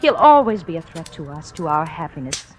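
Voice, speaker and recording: An actress playing a cold, manipulative femme fatale in a 1940s radio drama. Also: female voice, low voice, sing-song, brisk, a little mellow, soft background music. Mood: urgent. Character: cold, scheming female femme fatale, sweet when manipulating. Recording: noisy, clicky old radio recording.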